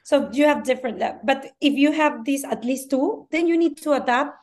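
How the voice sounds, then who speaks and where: Mexican woman speaking English, halting. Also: high-pitched, darkish tone. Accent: Mexican Spanish. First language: Spanish. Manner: halting, searching for words, laughs often. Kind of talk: casual podcast chat.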